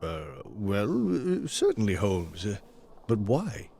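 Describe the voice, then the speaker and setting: Earnest victorian gent, loyal sidekick type, super expressive up and down delivery, audio drama. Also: male voice, fairly low pitch, takes it slowly, creaky, exaggerated voice. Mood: puzzled. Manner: formal, expressive storytelling diction. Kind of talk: period audio drama acting.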